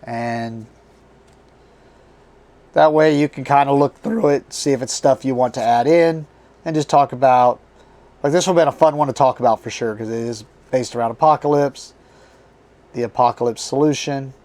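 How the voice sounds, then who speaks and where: A man hosting a casual card game unboxing, his voice strained and tiring as he reads card names aloud in short halting bursts. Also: mid-range voice, a little mellow. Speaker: board game channel host.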